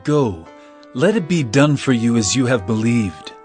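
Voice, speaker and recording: Man acting holy teacher, authoritative, compassionate, solemn, very expressive intonation, audio Bible drama. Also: mid-range voice, measured pace, soft background music, close to an everyday voice. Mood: compassionate assurance. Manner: solemn, emphatic.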